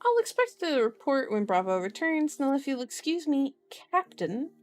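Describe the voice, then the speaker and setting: A woman's voice: high voice, very animated, normal speed. A woman voicing an irritable, condescending male police chief in a storybook reading, curt and authoritative. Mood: stern. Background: music.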